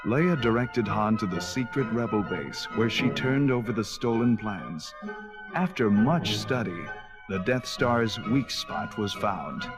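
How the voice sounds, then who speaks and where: A man's voice: fairly low pitch, animated, unhurried, low-quality recording. A man narrating a scripted children's adventure story in a dramatic read-along style. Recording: 1979 cassette, loud music underneath.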